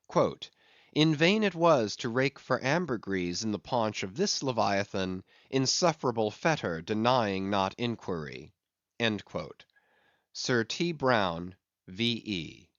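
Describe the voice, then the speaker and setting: A man reading a literary audiobook expressively, with deliberate, theatrical phrasing of ornate prose. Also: mid-range voice, no room sound, dull audio. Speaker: audiobook reader.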